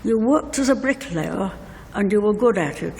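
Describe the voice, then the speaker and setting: An older woman reading a prepared eulogy letter as a bereaved mother, in measured, deliberate phrases. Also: medium pitch, expressive, vocal fry, a little mellow. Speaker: bereaved mother giving a tribute. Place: inside a church at a funeral service. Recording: reverberant church hall, faint noise.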